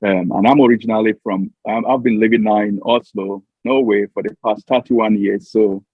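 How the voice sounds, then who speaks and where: Middle aged man on a thin phone-like line, dry sound, halting careful interview answers. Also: on the low side, fairly even intonation, breathy edge, no room sound. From Nigeria. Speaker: interview guest, diplomatic mission staffer. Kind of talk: interview answers over a remote link. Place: remote call into a studio. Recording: tinny phone-like remote call.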